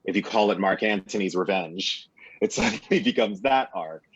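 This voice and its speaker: A man's voice: deep voice, normal speed, breathy edge, dry recording, highs cut off. A man speaking as a guest on a panel, analysing a play and building his points in emphatic parallel phrases.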